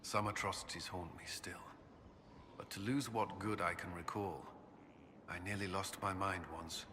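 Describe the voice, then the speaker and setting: An actor playing an earnest, haunted military commander and former knight who is tender in love, in a video game cutscene. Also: male voice, low-pitched, steady tone. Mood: earnest, grave. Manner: halting and hesitant when speaking personally. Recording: long reverb, background noise.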